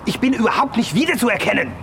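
Edgy, high-voiced male investigator. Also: very animated, quick pace, background noise, exaggerated voice. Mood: needling. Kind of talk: radio play acting.

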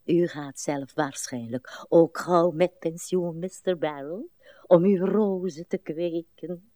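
An actress playing a weary, morbid, rambling old woman in a radio play, with slow, plaintive delivery. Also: lowish voice, dark tone, no echo. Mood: reminiscing, rambling. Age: older.

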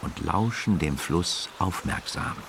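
A man narrating a scripted children's story as an expressive storyteller. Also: low voice, takes it slowly, creaky, noisy background. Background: music.

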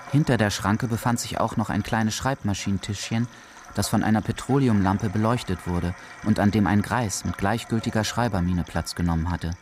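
A man with a fairly low voice reads dramatic literary prose for radio. His delivery is measured, precise and theatrical, over a faint layer of noise and clicks. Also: darkish tone. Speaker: narrator.

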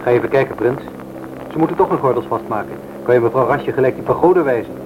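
Brisk airline captain over engine noise, acted by a man. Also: medium pitch, lightly acted. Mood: brisk, commanding. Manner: clipped, commanding. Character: brisk, businesslike male airline captain in flight. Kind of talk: Dutch radio drama acting. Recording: dull sound under loud engine noise.

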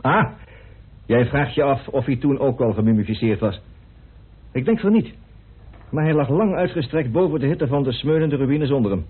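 Stubborn, wry, husky-voiced man. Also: mid-range voice, lively intonation, normal speed, long pauses. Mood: defensive, wry. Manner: wry, evasive, emphatic. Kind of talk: radio play acting. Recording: muffled phone-like old radio recording.

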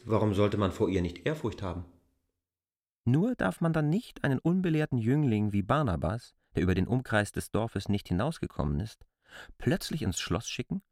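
An actor playing an earnest, argumentative stranger in a literary radio drama, reasoning insistently in long, deliberate clauses. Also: male voice, medium pitch, no echo, studio quality, natural voice.

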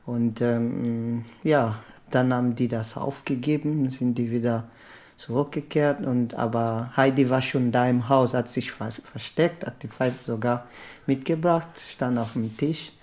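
A man's voice: mid-range voice, fairly even intonation, unhurried, darkish tone. A man retelling a story in casual, hesitant German, stopping often to search for words. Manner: hesitant, many fillers and restarts in non-native German.

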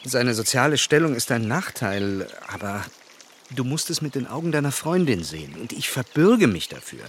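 An actor playing an earnest, tender English gentleman in love in a period radio play, gentle and measured. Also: mid-range voice, sing-song, slight background noise, natural voice. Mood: concerned, gentle. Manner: gentle, measured, formally polite. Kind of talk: German radio play acting of period novel.

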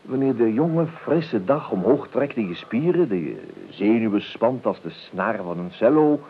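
An actor playing a grumbling, hungover, self-pitying gentleman in a Dutch radio play, halting and fussy, with lyrical flights. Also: medium pitch, expressive, lightly acted. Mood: lyrical, peevish. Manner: halting, fussy, theatrically weary. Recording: narrow, hissy old radio recording.

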